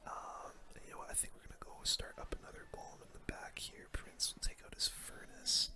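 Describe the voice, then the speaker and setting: A gamer giving ASMR game commentary in a breathy, unvoiced whisper, with casual slang. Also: fairly quick. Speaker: gaming video creator.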